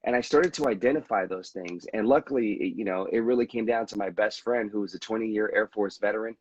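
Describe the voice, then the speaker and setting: A man with a husky, lowish voice tells his own story in an earnest, reflective way. He often stumbles and restarts a sentence. The sound is dry and close, with the dull, lo-fi quality of a video call. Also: brisk, no room sound. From United States. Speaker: veteran guest. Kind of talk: candid conversational storytelling.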